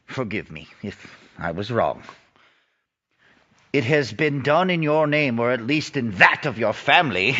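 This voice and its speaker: A man's voice: mid-range voice, lively intonation, measured pace, long pauses, a bit bright. An actor playing an earnest, humbled older man in an audio play, speaking theatrically on a dull recording. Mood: apologetic.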